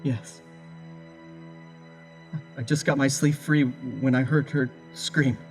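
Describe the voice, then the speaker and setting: An actor playing a distraught young husband under interrogation in an audio horror drama, halting, stammering and pleading. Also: male voice, medium pitch, steady tone, soft background music. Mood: haunted, halting.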